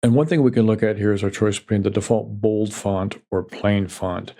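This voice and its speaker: Gravelly low-voiced man explaining software. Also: normal speed. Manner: calm, methodical, step-by-step explanation. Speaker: software tutorial instructor. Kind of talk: screen-recorded software tutorial.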